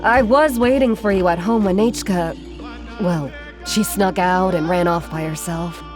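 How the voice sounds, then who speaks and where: A caring woman craftsman, voiced by an actress in a fantasy game, speaking in a lowish, calm voice. She talks gently and modestly and is quick to apologize. Faint game music sits behind her. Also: close to an everyday voice. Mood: apologetic. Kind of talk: game cutscene dialogue.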